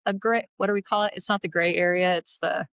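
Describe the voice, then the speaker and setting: A woman co-hosting a podcast, with a deeper voice, chatting casually and thinking aloud as she goes. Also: steady tone, fast talker, creaky, a bit bright, no echo. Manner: rambling, thinks aloud with restarts. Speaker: podcast co-host. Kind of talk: casual chat.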